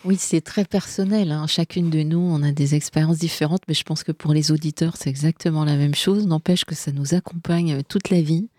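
Woman radio host, low mellow voice, dry studio sound, chatty with lots of hesitations. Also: fairly even intonation, a little fast, few pauses, dry recording. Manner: chatty, hesitant, many fillers. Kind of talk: casual radio chat.

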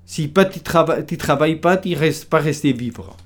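A man's voice: a little high, moderate pace. A man telling his story in a documentary interview, in broken French with simple repeated phrases.

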